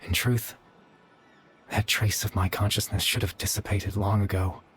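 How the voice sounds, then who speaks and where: An actor playing a gentle, weary young man in a video game, speaking in a soft, measured, wistful way. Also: lowish voice, flat delivery, slight background noise, close to an everyday voice. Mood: resigned, weary. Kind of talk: dramatic video game dialogue.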